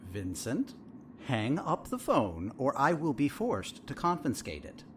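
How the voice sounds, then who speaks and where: Male actor as sinister therapist, falsely soothing, probing, very animated delivery, audio drama, slight noise behind. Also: mid-range voice. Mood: stern, controlled. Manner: measured, theatrical, condescending, falsely soothing. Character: sinister therapist of a horror town, smug and probing.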